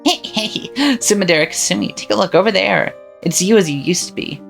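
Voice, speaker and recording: A woman's voice: lowish voice, a little fast, vocal fry. A woman voicing a cruel, cackling witch who mocks and taunts, with faint music behind. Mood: taunting. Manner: mocking, taunting, frequent cackling laughter. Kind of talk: visual novel voice acting.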